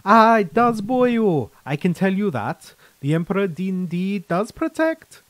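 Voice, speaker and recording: Man acting defensive ex-soldier, folksy, teasing, raised pitch, storybook character, dry recording. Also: big pitch swings, unhurried, a bit bright, no echo, full character voice. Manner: folksy, teasing, calls listener lad and boyo. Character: brash, defensive male ex-guardsman turned defector, cheerfully chiding. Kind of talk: short story character reading.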